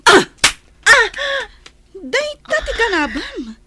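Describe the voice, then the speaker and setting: A woman playing a jilted, jealous young woman in a melodramatic audio drama, with shrill, wailing, pleading delivery. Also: huge pitch swings, takes it slowly, noisy background, exaggerated voice. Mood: shrill, enraged.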